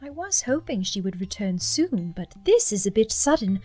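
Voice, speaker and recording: A woman's voice: mid-range voice, very animated, moderate pace, slightly rough, faint music behind. Woman playing a poised, teasing mature goddess. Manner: poised, gently teasing, lofty. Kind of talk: fan audio drama acting.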